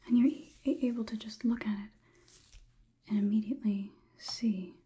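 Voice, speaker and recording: A young woman whispering an ASMR roleplay close to the microphone as a detached robot overlord, in a deliberate, inquisitive, clinical manner. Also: medium pitch, fairly even intonation, mellow, breathy edge. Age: young adult. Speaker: ASMR performer.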